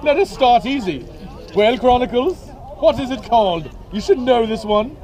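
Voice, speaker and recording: Haughty, stern schoolmistress, pitched high and sounding self-important; a man performs her in a comic reading. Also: expressive, normal speed, darkish tone, a faint crowd. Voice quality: pompous, haughty. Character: stern, haughty female theosophist headmistress.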